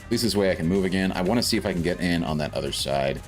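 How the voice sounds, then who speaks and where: Low-voiced man chatting through a game. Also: expressive, racing. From South Africa. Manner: chatty, rambling, thinks aloud while playing. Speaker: streamer. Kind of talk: casual gameplay commentary. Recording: faint game music behind.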